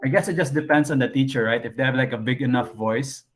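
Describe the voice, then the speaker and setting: Man teaching an online class. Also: fast talker. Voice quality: low voice. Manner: casual, thinks aloud with frequent fillers.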